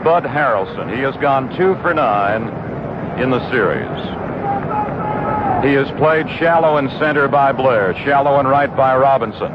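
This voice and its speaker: American guy doing old radio baseball play by play, measured descriptive calls, muffled sound, really loud background noise. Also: medium pitch, lively intonation. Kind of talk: live radio sports play-by-play. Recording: noisy dull vintage radio broadcast. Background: loud stadium ambience.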